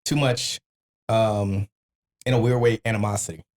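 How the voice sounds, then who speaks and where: A man's voice: fairly low pitch, fairly even intonation, normal speed. A man hosting a podcast in casual banter, talking informally with slang and swearing. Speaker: podcast host.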